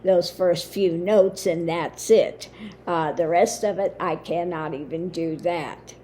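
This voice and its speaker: Slow, low-voiced woman with a strained voice. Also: fairly even intonation, mellow, slight background noise. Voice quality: lowered, limited voice. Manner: slow, halting, with many fillers. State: voice weakened after throat surgery. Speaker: spiritual channel host. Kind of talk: casual monologue to camera.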